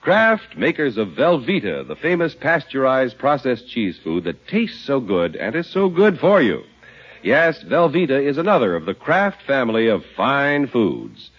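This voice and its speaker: A muffled old 1950s radio recording of an American male announcer. His scripted delivery is extremely animated in pitch, with a slightly husky tone. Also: unhurried. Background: faint music.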